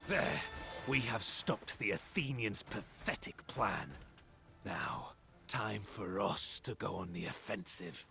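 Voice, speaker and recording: An actor playing a ruthless, humourless Spartan warrior in a dramatic video game style, terse and menacing. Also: male voice, lowish voice, animated, slow, creaky, exaggerated voice. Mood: cold, vengeful. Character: grim, ruthless, friendless Spartan warrior admiral. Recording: very loud music behind.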